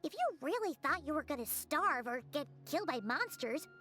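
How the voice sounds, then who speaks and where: A tiny, chirpy fairy guide from a fantasy game. Her voice is very high and bright, bouncing up and down constantly. Game music plays softly behind her. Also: sing-song, normal speed, full character voice. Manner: refers to herself in the third person. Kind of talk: game voice acting.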